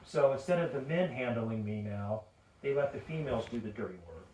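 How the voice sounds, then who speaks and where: A man's voice: medium pitch, animated, a little fast, darkish tone. A man meeting with his defense attorney, talking insistently and over the other speaker. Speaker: defendant client. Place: office. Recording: roomy, distant, noisy covert recording.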